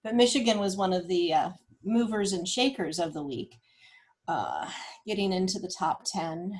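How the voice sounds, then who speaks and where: A woman, explaining data in a casual, measured way on a group call. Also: lowish voice, lively intonation, a little mellow. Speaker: nurse presenting health data.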